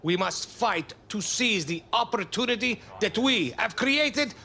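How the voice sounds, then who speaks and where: A man's voice: high-pitched, animated, a little slow, gravelly, bright, forceful tone, exaggerated voice. A man playing an earnest, impassioned football manager who speaks in formal, eloquent phrases in a video game. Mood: stirring. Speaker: football coach. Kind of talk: motivational speech in game cutscenes.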